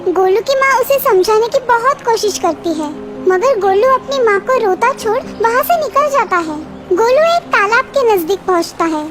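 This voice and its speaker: Very high-pitched female story narrator. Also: animated, normal speed, music in the background. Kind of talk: scripted children's story narration.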